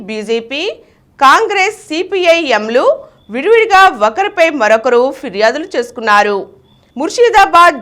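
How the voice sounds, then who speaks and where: A woman reading scripted news in a formal, clearly enunciated manner. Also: high voice, a bit bright. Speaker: news reader.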